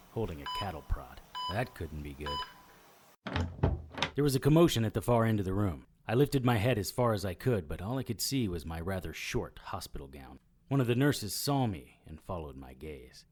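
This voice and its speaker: A sardonic, wisecracking detective played by a man for a noir audio drama. His delivery is animated and swings widely in pitch, with a dry, sarcastic edge to both narration and banter. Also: a little hiss or hum. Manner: wry, sarcastic. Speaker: lead character and narrator.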